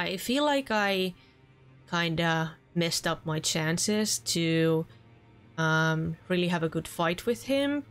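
Finnish woman in her twenties with a low voice, earnest let's play host talking over soft background music. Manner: chatty and earnest, with small restarts. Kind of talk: casual gameplay commentary. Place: home room.

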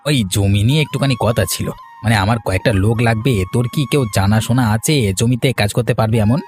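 A man's voice: medium pitch, quick pace, darkish tone, faint music behind, natural voice. A man playing a hesitant farmer in a cartoon story. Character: hesitant farmer friend seeking help. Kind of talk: cartoon moral-story dialogue.

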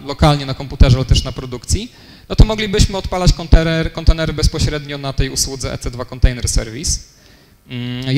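A young man gives a talk at a technical conference. He explains step by step, with "e" fillers and restarts, in a slightly raised voice. The hall rings with long reverb and a faint hiss sits underneath. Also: on the high side. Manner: fillers and self-corrections, explanatory. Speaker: conference speaker. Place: conference room, lecture hall.

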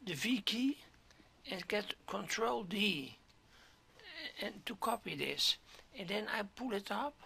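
Hoarse gravelly man, slow tutorial talk. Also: high-pitched, expressive, bright, forceful tone. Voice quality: gravelly, keeps clearing throat. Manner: halting, step-by-step, drops to whispers. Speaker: 3D modeling tutor. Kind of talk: screen-recorded software tutorial.

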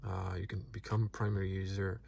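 A man whose first language is Croatian, casually walking through a car's features in a relaxed, halting way from inside a parked car. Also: low-pitched, creaky, a little hiss or hum. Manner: relaxed, halting, many fillers. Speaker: car reviewer. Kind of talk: casual product walkthrough.

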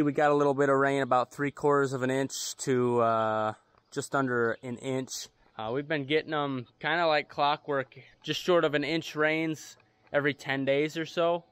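A man's voice: fairly high pitch, steady tone, normal speed, smooth, dry recording. A young man, talking casually to camera as a farmer vlogging, laid-back and plain-spoken. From United States. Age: young adult. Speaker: farmer and vlog host. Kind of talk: casual vlog talk to camera. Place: outdoors on a farmyard and cattle pens.

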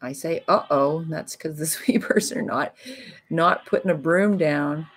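A woman giving calm, analytical live commentary on a curling match. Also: sits low, breathy edge. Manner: calm, analytical play-by-play with pauses.

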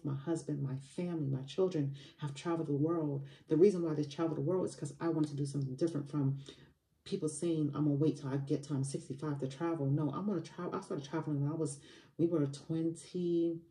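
A woman in her forties talks straight to camera in a motivational run, hammering her points with repeated phrases. Her voice is dark and mellow. It carries a roomy echo, as if the camera sits a little way off. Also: low voice, distant mic. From Barbados. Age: 40s. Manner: emphatic, repetitive motivational phrasing with fillers. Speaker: money coach. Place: home room by a window.

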